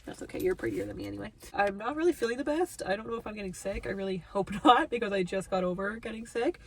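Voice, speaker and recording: A woman's voice: fast, gravelly, husky. A young woman with a nasal, stuffed-up voice chatting casually in a vlog, first from her parked car and then out on a ravine walking trail. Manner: chatty, self-deprecating, talks in a high sweet voice to her dog. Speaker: vlogger.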